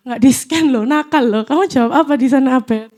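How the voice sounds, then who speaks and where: A woman's voice: sits high, brisk, a little mellow. A woman in her twenties giving a casual, chatty youth sermon in church, nervous and self-deprecating. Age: 20s. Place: church hall, live audience.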